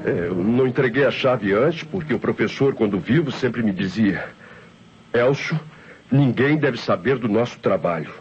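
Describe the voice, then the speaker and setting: A man's voice: mid-range voice, expressive, moderate pace, darkish tone, husky, highs cut off. A Brazilian man playing a professor's earnest assistant, explaining himself in an old radio mystery. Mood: earnest, confessing. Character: earnest male assistant to a murdered professor, confessing secrets. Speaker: radio actor.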